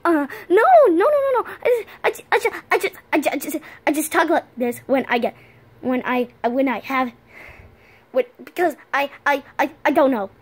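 A goofy young boy puppy, high and bouncy, who stammers and rambles in nervous bursts, as a woman puts him on. His voice leaps all over the place in a homemade cartoon play. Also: very animated, slow, pauses often, full character voice. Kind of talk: fan-made cartoon voice play.

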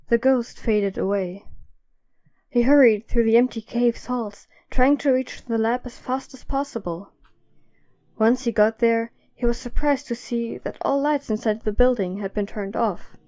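A woman's voice: fairly high pitch, dark tone. A woman narrating a scripted story as the narrator of an audio drama.

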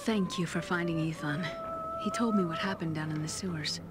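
An actress playing a kindly young innkeeper in a fantasy game, speaking in a gentle, grateful manner. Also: female voice, low-pitched, fairly quick, over loud music, lightly acted. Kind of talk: fantasy video game dialogue.

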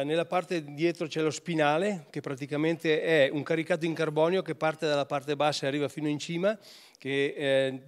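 An older man representing a ski brand explains boot features at an indoor booth, hesitating with many fillers and restarts into a handheld mic. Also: fairly high pitch. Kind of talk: technical product explanation. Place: indoor ski test booth, wooden backdrop. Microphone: handheld interviewer mic.

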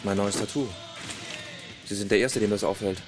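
An actor playing a terse police detective in a radio crime drama, questioning in a dry, deadpan way. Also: male voice, lowish voice, normal speed, music in the background, natural voice. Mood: coolly curious. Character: terse, dryly probing male police detective. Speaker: police chief inspector.

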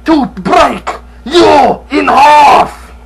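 A man playing a shrill, gravelly luchador boaster. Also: very slow, heavy background noise, heavily acted. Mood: boastful. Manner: broken English, shouty theatrical boasting. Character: boastful masked male luchador wrestler hosting a game review. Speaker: game reviewer. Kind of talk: comic game review in character.

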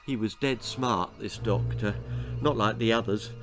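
A man's voice: lowish voice, unhurried, faint music behind. An older man in his sixties or beyond with an English accent, narrating a children's story expressively and with dramatic relish. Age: 60s or older. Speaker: author reading his story. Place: small book-lined room indoors.

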